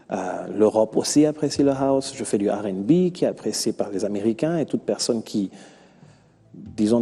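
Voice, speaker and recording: Very dark-voiced, husky Congolese-accented man. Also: lowish voice. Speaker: musician being interviewed. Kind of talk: interview answers. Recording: long reverberant hall-like echo.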